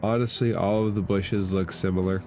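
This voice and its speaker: A man acting as dungeon master, narrating a tabletop game casually with a deadpan manner. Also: deep voice, moderate pace. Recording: game music playing behind.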